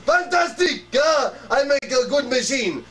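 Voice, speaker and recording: An actor playing a hyper, boastful fitness-machine salesman who talks in broken English and shouts his own name, on an improvised comedy talk show. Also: very high voice, expressive, measured pace. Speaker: guest character. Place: small indoor set, couch and table. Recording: distant camera audio with hum.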